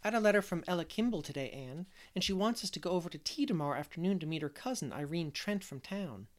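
A woman voicing an eager young woman who talks quickly in an audiobook. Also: on the low side, lively intonation, natural voice. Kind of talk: audiobook character voice.

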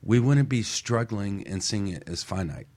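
A man's voice: lowish voice, animated, moderate pace, a little mellow. A man talking casually and earnestly in a motivational radio discussion, often checking in with "right?". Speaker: radio talk show panelist.

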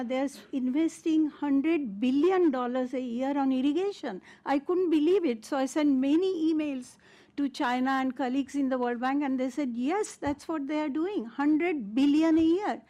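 A woman's voice: fairly high pitch, warm tone. An older woman from India with an Indian accent, speaking as an invited expert in an informal, emphatic conference presentation. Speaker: invited discussant and agricultural economist.

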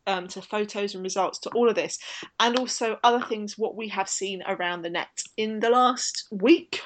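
A woman co-hosts an informal podcast. She talks in a chatty, conversational style and readily drifts into digressions. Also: medium pitch. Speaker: podcast co-host. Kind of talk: casual chat podcast about women's cycling.

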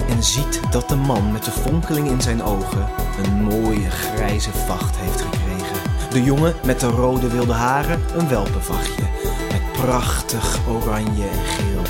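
A man narrating a scripted audio drama in the second person, in a dramatic, immersive manner. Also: fairly low pitch. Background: music.

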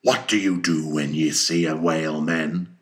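A man voicing a fierce, obsessive old whaling captain in an audiobook, declaiming his orders with slow, emphatic force. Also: rumbling low, steady tone. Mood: fierce, rousing.

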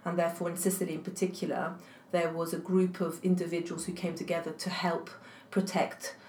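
A woman's voice: fairly low pitch, level tone, a little fast, a little mellow. A woman, a university academic whose first language is French, answering interview questions thoughtfully with many fillers and restarts. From England. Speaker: guest academic. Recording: echoey room, faint noise.

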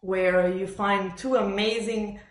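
A woman raised in Montreal talks as a podcast guest in an echoey room. Her pitch sits in the middle for a woman. She tells personal stories in a conversational way, voicing the remarks of others as she goes. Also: fairly even intonation, slow pace. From born in Argentina, raised in Montreal, Canada. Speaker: podcast guest, professional orchestral bassoonist. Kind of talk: conversational interview storytelling.

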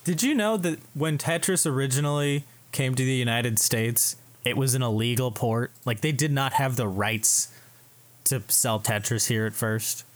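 A man's voice: medium pitch, normal speed. A man hosting a casual gaming podcast, explaining things and steering the talk back on topic. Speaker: podcast host.

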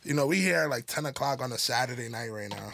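A man's voice: medium pitch, expressive, fast talker, gravelly, pushed and bright. A man in his twenties co-hosting a podcast, chatting casually in a laid-back, slangy way full of "you know what I'm saying". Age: 20s. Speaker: podcast co-host. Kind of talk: casual chat.

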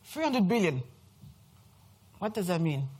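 A woman's voice: fairly low pitch, very animated, a little slow, warm tone, slightly rough. A French woman in her thirties with a French accent, giving a chatty, self-mocking talk to a live audience. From France. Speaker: speaker presenting to an audience. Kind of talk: lively presentation talk. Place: event room before a live audience.

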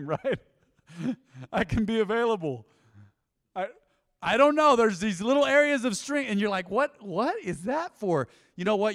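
A man preaching a sermon as pastor, animated and conversational, with frequent asides to the congregation. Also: a little high, big-room sound. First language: English. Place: church.

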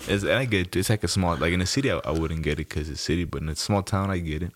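A man with a very low voice shoots the breeze on a podcast, slangy and laid-back, laughing easily as he spins a story. The sound is dry and close, with no room around it. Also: very fast, no echo. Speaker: podcast co-host. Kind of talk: casual chat.

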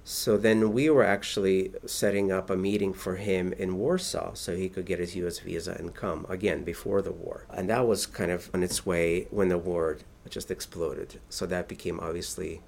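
A man's voice: sits low, fairly even intonation, normal speed, vocal fry, few pauses, darkish tone, a little hiss or hum. A man, a translator, answering interview questions thoughtfully, often restarting his sentences. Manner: thoughtful, with frequent restarts and fillers.

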